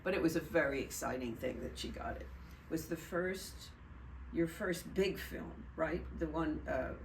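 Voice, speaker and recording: An older actress hosting a casual interview, musing slowly about acting with a swooping voice. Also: female voice, sits low, slight background noise. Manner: slow, musing, lively swoops. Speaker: host and actress. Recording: echoey, distant from mic.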